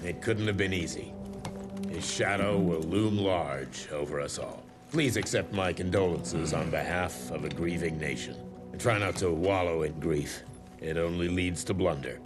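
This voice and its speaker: A man's voice: sits low, normal speed, music in the background, close to an everyday voice. An actor playing a blunt, foul-mouthed male government official who speaks with contempt. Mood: solemn.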